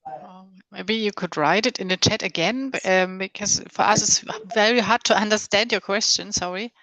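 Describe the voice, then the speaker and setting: Bright-voiced woman answering online questions. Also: mid-range voice, moderate pace, no echo, low-quality recording. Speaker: programme presenter answering questions. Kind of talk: informal online Q&A. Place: online video conference call.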